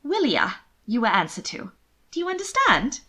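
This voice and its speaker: A sweetly manipulative young lady of a noble house, voiced by a woman reading a story. Her voice rides high and moves in big swoops. She cajoles and pleads with heavy emphasis. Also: wildly swooping pitch, normal speed, bright, forceful tone, breathy edge, heavily acted. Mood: insistent. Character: sweetly wheedling, manipulative young noblewoman, pampered twin sister. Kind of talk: expressive audiobook character reading.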